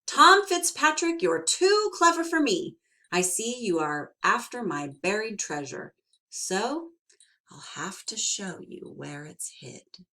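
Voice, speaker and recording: A woman's voice: mid-range voice, sing-song, slow, pushed and bright, voice pushed up, exaggerated voice. A woman voicing a tiny, sly old male leprechaun shoemaker who scolds shrilly and wheedles. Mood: grudging.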